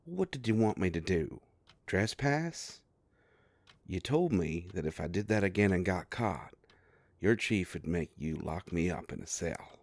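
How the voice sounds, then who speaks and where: Man acting as male werewolf private eye, low-pitched, sardonic, gruff but soft-hearted, audio drama, very dry close sound. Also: expressive, measured pace, no echo. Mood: exasperated, defensive. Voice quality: low gravelly voice. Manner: sardonic, grumbling, conversational. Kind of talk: first-person audio roleplay drama.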